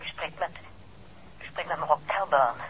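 A woman's voice: low-pitched, normal speed, fairly bright tone. An actress playing an anxious, secretive married woman speaking urgently over a telephone in a 1960s radio drama. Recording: muffled noisy old radio, phone line.

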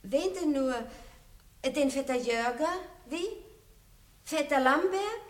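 A poised, dryly ironic princess in an old radio play. Her voice is high and gracious but commanding. The recording carries a roomy echo. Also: animated, slow. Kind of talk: 1960s radio drama acting.

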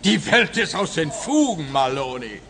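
A man's voice: fairly high pitch, sing-song, normal speed, sharp tone, in a large hall, faint music behind, exaggerated voice. A man playing a smug, sardonic police officer in a radio comedy crime play. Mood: self-important. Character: male police officer, smug, pompous, grumbling, needling a private detective.

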